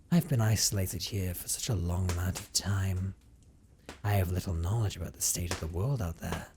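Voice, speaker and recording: A man voicing a centuries-old vampire captor in an intimate audio roleplay, speaking with hushed, theatrical condescension and often dropping toward a whisper. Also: low voice, slight background noise. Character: centuries-old male vampire captor, teasing, dominant, wistful.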